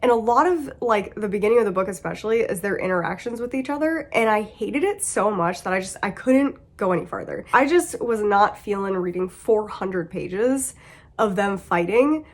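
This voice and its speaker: A woman's voice: medium pitch, animated, normal speed. A woman in her thirties with a sick, congested voice, chatting about her monthly reading at home, laughing and breaking off mid-sentence. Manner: chatty, laughing, self-interrupting. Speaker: book vlogger. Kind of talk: casual reading wrap-up chat.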